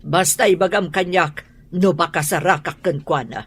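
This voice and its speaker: An actress playing a stern, disapproving older mother in an Ilocano radio drama, with an Ilocano accent and a husky voice. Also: fairly low pitch, moderate pace. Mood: cold, insistent.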